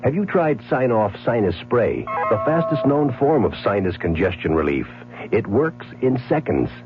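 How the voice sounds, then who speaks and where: A man announcing a vintage radio commercial, in a smooth, persuasive pitch. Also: big pitch swings, moderate pace. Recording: muffled old radio ad with music.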